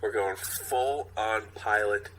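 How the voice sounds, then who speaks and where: A man with a fairly low but bright, forceful voice banters casually as a podcast co-host. There is faint noise in the background. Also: takes it slowly, rough recording.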